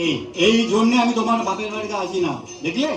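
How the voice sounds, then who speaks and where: A man plays a comic god, a grumbling, easygoing husband with a high voice. It is a stage play heard from afar in an echoey hall, with music behind. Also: fast, distant mic, natural voice. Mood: indignant, dismissive. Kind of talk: community comedy stage play.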